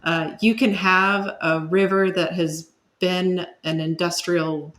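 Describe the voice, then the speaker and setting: A woman with a lowish voice talks as an interview guest. She explains in a thoughtful, conversational way, like an expert answering questions. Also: steady tone, slow pace, fairly bright tone.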